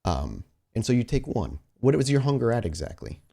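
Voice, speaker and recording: A man's voice: mid-range voice, very animated, brisk, vocal fry, warm tone, slightly rough. A man narrating as game master of a tabletop roleplaying game, conversational and descriptive, speaking directly to his player. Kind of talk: improvised narration mixed with casual table talk.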